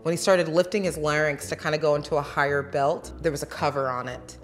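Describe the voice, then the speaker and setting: Soft-spoken woman analyzing voices. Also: low-pitched, fairly even intonation, fast, faint music behind.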